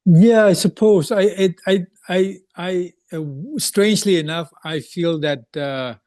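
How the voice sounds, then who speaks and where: Man, hesitant, halting, fillers and restarts, dry room. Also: sits high, a little mellow, no echo. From Burma, raised in Thailand. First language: Thai. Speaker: artist. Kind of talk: panel talk.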